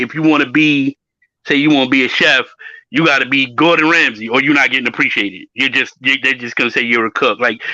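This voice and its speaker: A man's voice: mid-range voice, pushed and bright, no echo. A man who works as a chef, talking emphatically in a casual chat and often repeating words as he starts a thought. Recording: dull, lo-fi audio.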